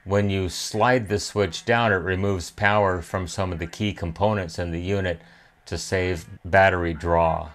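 Low-pitched American man narrating a repair. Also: fairly even intonation, unhurried. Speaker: electronics repair video host. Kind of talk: hands-on repair tutorial narration.

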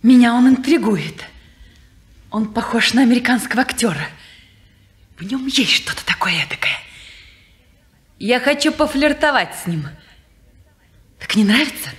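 An actress playing a restless, teasing young woman in her twenties in a 1950s radio play, playful and capricious, dropping to hushed whispers. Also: fairly high pitch, animated, normal speed, long pauses, close to an everyday voice. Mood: probing. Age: 20s.

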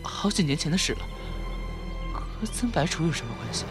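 一名男演员在广播剧中饰演温和却倔强忠诚、不安迟疑的被绑年轻人。. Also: male voice, on the high side, sing-song, a little fast. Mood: shocked. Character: captive young man, mild but stubbornly loyal. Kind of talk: Chinese radio drama acting. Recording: music behind the voice.

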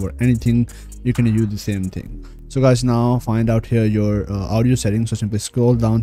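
A man's voice: medium pitch, dark tone. A man narrating a screen tutorial as host, repeatedly addressing viewers directly. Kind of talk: screen-recorded tutorial voice-over.

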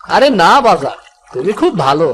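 A man's voice: on the high side, big pitch swings, moderate pace. A man voicing a fisherman's eager, loving young son with a rural Bangladeshi accent in a cartoon. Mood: hopeful. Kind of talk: cartoon voice acting.

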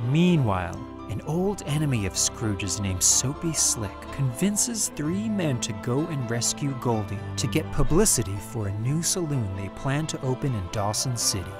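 A man giving a dramatic scripted narration of a story as its narrator. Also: mid-range voice. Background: music.

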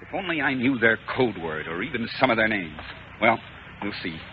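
A man's voice: lowish voice, big pitch swings, normal speed. A narrow, faintly noisy old radio recording carries an American space colonel. He is firm and resourceful, giving clipped orders in classic serial style. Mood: brisk, determined. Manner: clipped, commanding, urgent. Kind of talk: 1950s radio serial acting.

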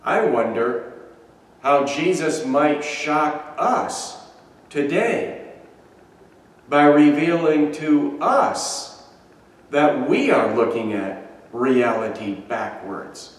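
A man in his sixties, a pastor giving a measured, emphatic sermon with deliberate pauses, filmed by a camera across a small church sanctuary. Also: medium pitch, expressive. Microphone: far camera. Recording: echoey church from far off.

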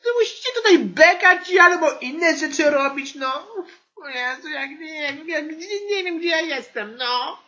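A woman's voice: high voice, animated, unhurried, pushed and bright, dull audio, heavily acted. A woman playing an exasperated female English teacher in an amateur comedy audio sketch, whining and grumbling as she scolds her class. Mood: whiny, disgusted.

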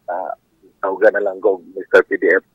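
A man's voice: low voice, level tone, brisk, phone-quality sound. A man phoning in casually to a radio show. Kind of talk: casual phone-in chat.

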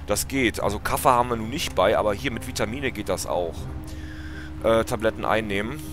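A man with a lowish voice and a stuffy, congested nose talks his way through a game, rambling and restarting his sentences. Music plays clearly behind him. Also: fairly quick. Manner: chatty, rambling, with many fillers and restarts. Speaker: let's play gamer. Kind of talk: casual gameplay commentary.

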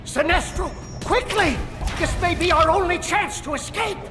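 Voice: very high voice, normal speed, big-room sound, music in the background. A performer voicing a gleeful, effusive villain ally in a video game. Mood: urgent. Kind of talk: video game cutscene acting.

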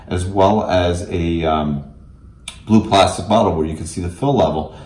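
Low-voiced American man in an echoey room. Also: darkish tone. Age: middle-aged. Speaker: company representative presenting technical products. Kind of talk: technical product demo and explanation. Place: indoors in a small office meeting room.

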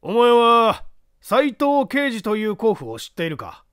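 A man's voice: extremely high pitch, wildly swooping pitch, unhurried, a bit bright, clear, resonant tone, no room sound, studio quality, pitched above the performer's own voice, exaggerated voice. A man voicing a stern examining judge who questions a witness bluntly in a mystery story. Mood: stern, probing. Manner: blunt, commanding, probing.

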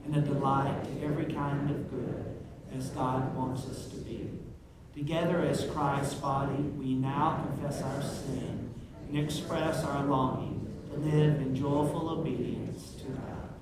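A man in his forties leading a communion prayer as pastor, earnest and measured, his phrases trailing off softly. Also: mid-range voice. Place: church sanctuary with stage. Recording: echoey sanctuary heard from far off.

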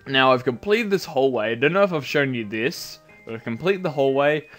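A man giving casual, rambling commentary over a video game while sounding nasal from a cold, with bursts of excited yelps. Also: medium pitch, fairly quick, faint music behind. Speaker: gameplay commentator.

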